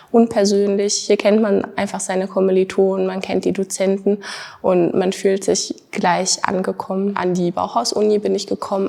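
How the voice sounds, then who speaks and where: A woman in her twenties from Thuringia, a master's student giving earnest interview answers, often pausing on fillers and repeating words for stress. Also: mid-range voice, gravelly, a little mellow. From Thuringia, Germany. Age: 20s.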